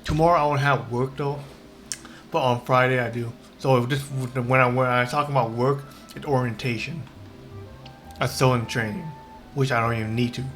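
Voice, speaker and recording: A man's voice: mid-range voice, steady tone, moderate pace, fairly bright tone, soft background music. A man giving casual, rambling gameplay commentary as a streamer, hesitating and repeating his words.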